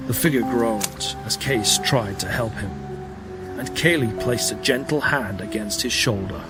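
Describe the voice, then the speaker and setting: A man's voice: on the low side, unhurried. A man reading dramatic scripted narration for a science fiction audio drama. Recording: prominent music bed under the voice. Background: loud music.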